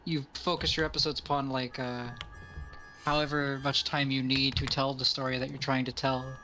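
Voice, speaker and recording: A man's voice: mid-range voice, flat delivery, moderate pace, noisy background. A man chatting casually with friends on a stream, with some music and keyboard typing behind him. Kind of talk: casual chat.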